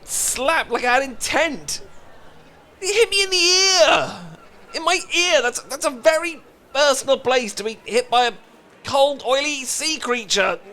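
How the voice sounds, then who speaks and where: A British man acting a flustered, emotionally repressed and lovesick best friend in a comedic romantic audio roleplay, stammering and pleading in halting phrases. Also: very high voice, pushed and bright, exaggerated voice. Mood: panicked pleading.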